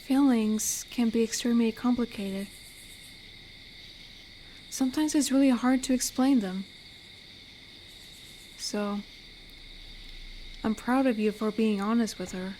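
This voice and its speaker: A woman voicing an amiable, protective, lonely female werewolf in an intimate audio roleplay, speaking soothingly and reflectively with pauses. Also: on the high side, dark tone, slight background noise, poor audio. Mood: comforting, sincere. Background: night forest ambience with crickets.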